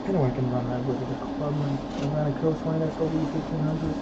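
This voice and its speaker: A woman with a low, masculine-sounding voice, chatting casually on a livestream and often restarting her phrases. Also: fairly even intonation, fast, lo-fi sound, heavy background noise. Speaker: livestreamer sorting model trains.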